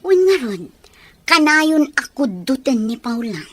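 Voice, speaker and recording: A woman's voice: sits high, very animated, measured pace, dry recording, full character voice. An actress playing a chatty village woman who pities a child in a radio drama. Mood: pitying. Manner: chatty, pitying.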